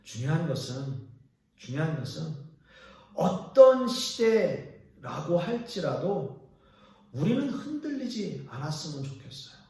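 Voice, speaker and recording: A male pastor preaching a sermon, his voice high and full of lively rises and falls. He speaks with earnest pauses, lifting some lines and dropping others to a hush. He sounds set back from the mic in a church sanctuary, where the voice rings with a heavy echo. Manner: earnest preaching with pauses, swinging between raised and hushed lines. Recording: echoey church hall from a distance.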